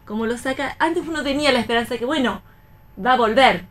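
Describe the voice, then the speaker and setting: A woman's voice: on the high side, fast talker, a bit bright. A woman with an Argentine accent talking casually and reflectively as a radio panelist.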